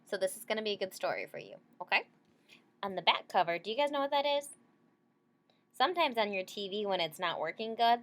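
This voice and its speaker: A woman reads a picture book aloud to young children at a middling pitch. She breaks off for casual, simple explanations and often checks in with short tag questions. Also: fairly bright tone. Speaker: storybook reader for children.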